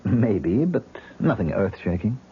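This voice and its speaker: An actor playing a troubled, defensive stage actor in a 1970s radio drama. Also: male voice, lowish voice, sing-song, measured pace. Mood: guarded, hesitant. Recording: muffled, hissy old radio broadcast recording.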